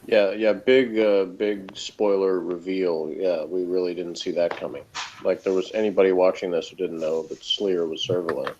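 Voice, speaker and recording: Muffled, low-pitched man with dry sarcasm. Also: steady tone, normal speed, a little mellow. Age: 30s. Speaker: podcast co-host, reviewer. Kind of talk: casual review chat. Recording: muffled lo-fi line with noise.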